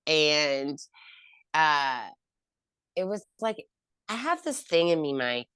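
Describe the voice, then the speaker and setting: A woman's voice: sits low, big pitch swings, slow, a bit bright, clear, resonant tone, no room sound, clean studio sound. A woman as an interview guest, chatting casually about her work, rambling with lots of fillers and restarts. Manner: rambling, heavy with "um" and "you know" fillers and restarts. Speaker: interview guest, cannabis company founder.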